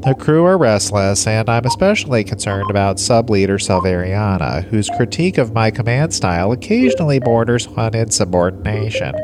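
An actor plays an older male alien starship commander, pompous and lazy in a comic sci-fi play. His pitch swoops widely as he slides into breezy indifference. Music plays clearly underneath. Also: lively intonation, normal speed, hardly any pauses, close to an everyday voice. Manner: pompous log-keeping sliding into casual indifference.

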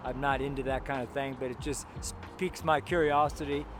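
A man's voice: mid-range voice, fairly even intonation, fairly quick, over music. A seventy-year-old American man giving a casual, rambling street sermon, chuckling to himself now and then. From United States. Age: 70s. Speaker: street preacher. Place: outdoors by a road with passing cars.

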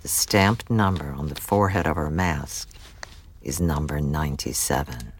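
A man narrating a scripted audio drama deliberately, with dramatic pauses. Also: very deep voice, breathy edge. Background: music.

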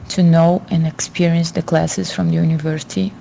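Young woman reading her interview answers, low-ish voice, pretty monotone, muffled recording. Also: normal speed, vocal fry. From Brazil. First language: Portuguese. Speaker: guest student. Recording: dull lo-fi audio, faint noise.

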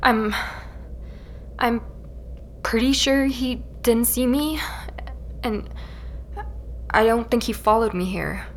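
A woman playing a shaken young woman who records a hurried, halting account in a naturalistic audio drama, sighing between phrases. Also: fairly high pitch, a little hiss or hum. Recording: music underneath.

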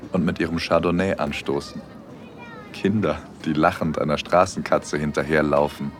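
An actor playing a dreamy, bashful young man, narrating an intimate audio drama in the first person in a soft-spoken way. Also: extremely low pitch. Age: young adult.

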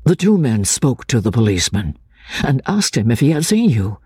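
A woman as an earnest, husky-voiced Victorian gentleman. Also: extremely low pitch, very animated, no echo, clean studio sound, heavily acted. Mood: pressing on. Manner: measured, deliberate, persuasive. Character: earnest, cautious gentleman narrator, gently coaxing and reassuring. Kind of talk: dramatic audiobook reading of classic literature.

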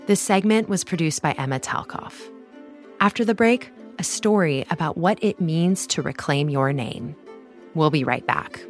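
A woman hosting a news podcast, delivering scripted narration in a measured, clear way. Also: low-pitched, lively intonation, soft background music. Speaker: podcast host.

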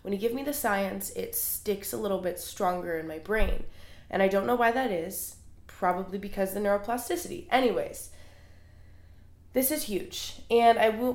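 A woman's voice: mid-range voice, expressive, normal speed, a little mellow. Young woman w a stuffy cold voice, talking casually into a little recorder, kinda echoey room. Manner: chatty and earnest, many "like"s. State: congested with a cold. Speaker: podcast host. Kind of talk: casual solo podcast monologue. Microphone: small handheld recorder. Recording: roomy echo.

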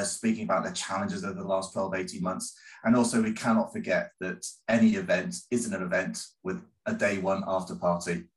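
Relaxed, rambling male podcast guest. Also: low voice, rough recording. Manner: relaxed, rambling, good-humored. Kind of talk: casual interview chat.

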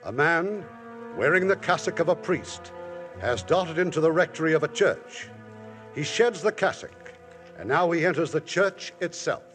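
A man's voice: on the high side, moderate pace, soft background music. A man hosting an old radio theatre show, introducing the play and reading the sponsor's message in a polished, promotional manner. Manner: smooth, promotional, lively delivery. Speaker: producer and host. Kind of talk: formal 1950s radio introduction.

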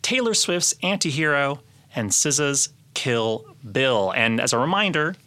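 A man's voice: medium pitch, expressive, moderate pace, sharp tone. A man co-hosting a podcast in a casual, explanatory way, often hedging as he talks. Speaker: podcast co-host.

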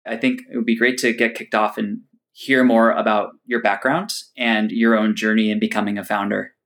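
A man hosting a podcast, reading a measured introduction and then asking friendly questions that stumble a little when he improvises. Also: fairly low pitch, level tone, a bit bright. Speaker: podcast host. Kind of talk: scripted intro and interview questions.

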